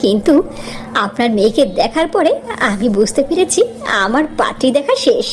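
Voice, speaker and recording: A woman's voice: a little high, expressive, normal speed, close to an everyday voice. A woman playing a gracious, effusive wealthy mother in a cartoon story. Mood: admiring. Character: gracious wealthy mother seeking brides for her sons. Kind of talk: animated story voice acting.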